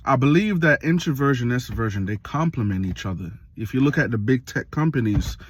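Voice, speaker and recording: A man in his late thirties, from Jamaica, giving a reflective casual monologue for his video channel, slow and full of pauses and fillers. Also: mid-range voice, lively intonation, a little hiss or hum. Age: 30s. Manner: slow, pausing often with fillers. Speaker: vlogger.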